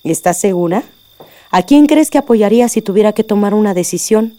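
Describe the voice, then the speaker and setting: A woman's voice: mid-range voice, animated, brisk, warm tone, no echo, lightly acted. A middle-aged Mexican woman acting a caring, perceptive and wary mother in a radio soap opera. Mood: worried, doubtful.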